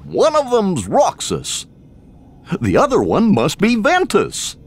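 A man's voice: high-pitched, huge pitch swings, slow, exaggerated voice. An actor voicing a goofy, kindly male cartoon dog sidekick with a folksy, hollow drawl in a video game. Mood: puzzled, kindly. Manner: folksy, good-natured.

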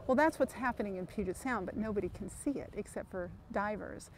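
A plain-spoken woman with a mid-pitched voice explains things in a documentary interview. Engine and outdoor noise is clearly heard behind her. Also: a little fast, poor audio. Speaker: marine debris organization representative.

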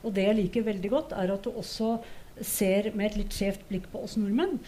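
A middle-aged Norwegian woman comments conversationally from a theatre stage. Her voice is softly mellow and mid-pitched, with faint ambient noise behind it. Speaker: panel speaker.

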